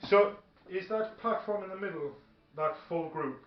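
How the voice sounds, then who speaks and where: A man's voice: sits high, moderate pace. A man thinking aloud hesitantly as he chats casually while playing a tabletop game, recorded in a room. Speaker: tabletop war game player. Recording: muffled, echoey room recording with faint noise.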